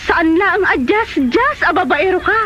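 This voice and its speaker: A woman's voice: extremely high pitch, animated, normal speed, a bit bright. A woman with a Filipino accent playing a scornful, fed-up wife in a comedy radio drama. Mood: angry, accusing. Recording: dull, noisy audio.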